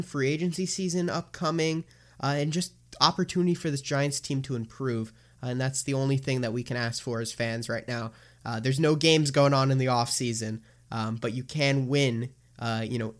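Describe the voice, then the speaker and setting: A man's voice: medium pitch, a bit bright. A young man co-hosting a casual sports podcast, talking eagerly with many fillers. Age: young adult. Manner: enthusiastic, filler-heavy. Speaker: podcast co-host.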